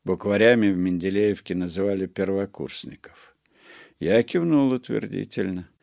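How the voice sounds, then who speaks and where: A man reading his own first-person memoir aloud in a measured, dryly ironic storytelling manner. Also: sits low, gravelly, darkish tone, dry recording, clean studio sound. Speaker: narrator.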